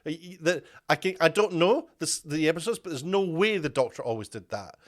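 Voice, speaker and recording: A man's voice: on the high side, expressive, a little fast. A man delivering a ranting commentary video, indignant and full of restarts and repeated words. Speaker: media commentator.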